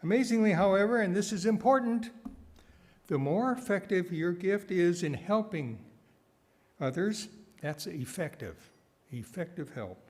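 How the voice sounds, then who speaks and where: A man delivering a prepared sermon with wry asides, phrasing deliberately and repeating words for emphasis. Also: high voice, lively intonation. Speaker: guest speaker giving a sermon, nonprofit founder.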